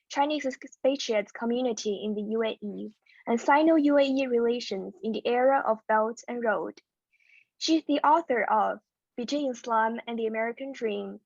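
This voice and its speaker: A woman presenting a forum panel, reading out each panelist's bio in a steady, formal way. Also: fairly high pitch, no room sound. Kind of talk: reading speaker bios. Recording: dull lo-fi dry sound.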